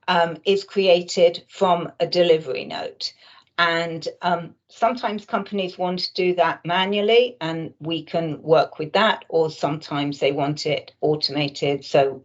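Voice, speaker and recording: A woman presents in an explanatory webinar manner, like a consultant walking clients through a product. Her voice sits a little low and she speaks quite slowly, often hesitating mid-sentence. The audio is somewhat dull and muffled.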